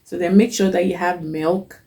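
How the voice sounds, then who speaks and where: A woman's voice: fairly low pitch, fairly even intonation, moderate pace, warm tone. A young woman hosting her cooking channel, chatting casually through a list with frequent ums while she has a cold. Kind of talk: casual talk to camera.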